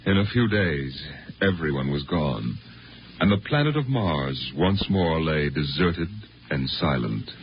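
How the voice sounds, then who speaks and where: An actor as a solemn storyteller narrating a 1950s radio drama. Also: male voice, extremely low pitch, animated, unhurried, slight background noise, exaggerated voice. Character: solemn storyteller narrating a science fiction tale. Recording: dull 1950s radio recording, loud music.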